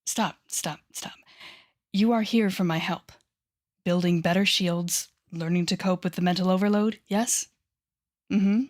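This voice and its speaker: A woman playing an intense, coaxing female telepathic villain with a secretly tender side in an intimate audio roleplay, speaking softly and often whispering with deliberate dramatic pauses. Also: on the low side, steady tone, natural voice. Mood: incredulous, sardonic. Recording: background music under the voice.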